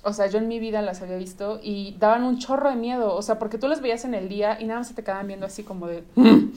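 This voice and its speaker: Young mexican woman, guest on a podcast, animated storytelling, lots of slang, middle pitch. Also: fast talker. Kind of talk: casual podcast chat. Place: studio in front of a camera and light.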